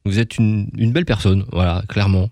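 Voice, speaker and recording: A man's voice: on the low side, steady tone, a little fast, dark tone, no echo. Casual male radio host, dry sound. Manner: informal, rambling, often restarts and trails off. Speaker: radio interviewer. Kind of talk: casual chatty interview.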